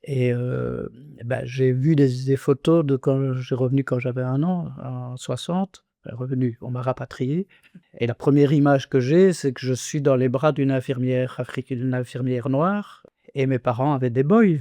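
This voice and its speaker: An older man in his sixties talks in a halting, earnest way, with frequent restarts and hesitations. His voice is mellow and warm at a middle pitch, recorded close and dry with no room sound. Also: no room sound. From Congo. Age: 60s. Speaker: interviewee. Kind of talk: reflective conversational testimony.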